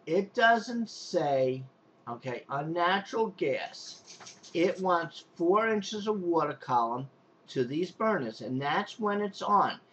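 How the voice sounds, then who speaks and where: A man's voice: a little high, animated, slow pace, a bit bright, lo-fi sound, slight background noise. A man with a strong Long Island accent, a pool and spa technician giving an unscripted, rambling how-to demonstration with many restarts and fillers. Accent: Long Island New York.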